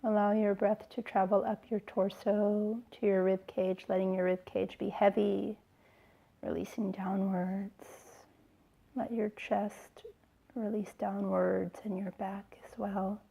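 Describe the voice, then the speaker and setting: A woman with a creaky, fry-tinged voice leads a guided relaxation as a yoga teacher. She speaks gently and soothingly, leaving space between phrases. The recording is a little dull and lo-fi. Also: medium pitch, little pitch movement, slow. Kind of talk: slow guided relaxation instruction.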